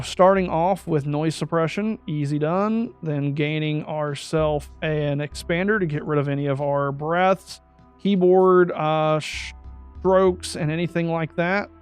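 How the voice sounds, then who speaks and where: High-voiced man walking through settings. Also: a little mellow, clear, resonant tone. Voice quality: describes his own voice as high-pitched. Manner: talks through steps aloud, corrects himself mid-sentence. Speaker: streamer explaining audio setup. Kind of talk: step-by-step tutorial walkthrough. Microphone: USB desk microphone.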